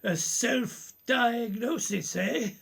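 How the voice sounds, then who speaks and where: An actor playing an older male professor in a radio play, genial and probing, with formal, measured old-world courtesy. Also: extremely high pitch, creaky, a bit bright, breathy edge, heavily acted. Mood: courteous, concerned.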